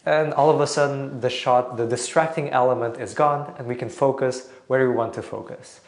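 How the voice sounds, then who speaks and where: A man from Portugal calmly explaining video composition as a tutor, with some fillers and an encouraging tone. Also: medium pitch. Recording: roomy echo.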